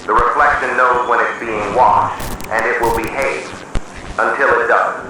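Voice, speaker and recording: A man's voice: mid-range voice, fairly even intonation. Heavily echoing, solemn male emergency announcer. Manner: solemn, measured, official. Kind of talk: scripted analog horror emergency announcement. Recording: distant, heavily echoing, noisy emergency broadcast.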